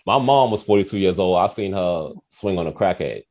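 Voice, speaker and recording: A man in his forties hosting a casual quiz and chat, telling stories in an animated, slangy way with some profanity. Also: low-pitched, a little fast. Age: 40s. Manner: animated, profane, acts out quoted voices. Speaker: quiz host on a talk show.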